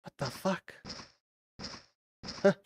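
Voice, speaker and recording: A woman's voice: very slow, darkish tone, a little hiss or hum. A woman streaming a video game, commenting casually with a lot of exasperated swearing and exclaiming. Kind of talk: casual live gameplay commentary.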